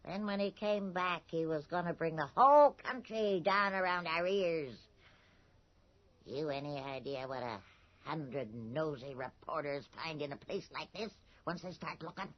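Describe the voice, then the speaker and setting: A woman playing a hard, knowing small-town landlady, terse, unhurried and taunting, in a radio drama. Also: low-pitched, animated, no room sound. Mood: coldly knowing. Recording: muffled early 1980s radio broadcast, music bed.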